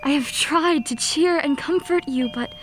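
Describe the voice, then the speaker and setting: A woman plays a heartbroken but resolute young sweetheart in a radio drama. Her voice is fairly high, measured and earnest, over faint music. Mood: sorrowful. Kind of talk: old-time radio play acting.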